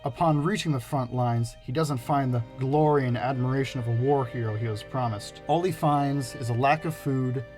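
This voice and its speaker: A man's voice: medium pitch, moderate pace, few pauses, darkish tone, faint music behind. A man reading scripted narration for a video essay.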